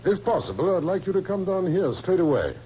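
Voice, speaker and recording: An actor playing a brisk, dutiful provincial police superintendent in an old-time radio drama. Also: male voice, sits high, sing-song. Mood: businesslike. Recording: muffled, noisy old radio recording.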